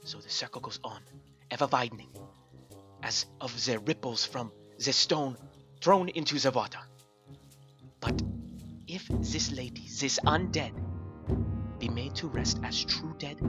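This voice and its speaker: An actor playing a grave, commanding older professor who hunts vampires, with solemn, urgent pronouncements in a radio drama. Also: male voice, mid-range voice, unhurried, pauses often, close to an everyday voice. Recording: loud music under the voice.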